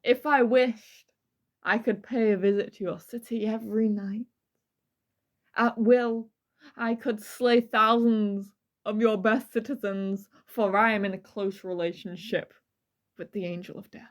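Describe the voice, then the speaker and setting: A woman's voice: medium pitch, fairly even intonation, a little slow. A woman co-hosting a true crime podcast, switching between dramatic reading and casual, irreverent banter. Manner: playful, irreverent, swears casually.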